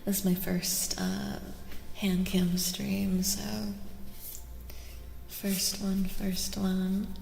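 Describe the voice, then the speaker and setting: A woman speaking softly and gently in a breathy voice that often drops to a whisper, repeating soothing phrases as she chats with viewers on an ASMR tarot stream. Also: mid-range voice, completely flat delivery, extremely slow, pauses often. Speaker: streamer giving tarot card readings. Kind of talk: soft-spoken ASMR live stream, chatting with viewers. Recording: roomy reverb, clear background noise.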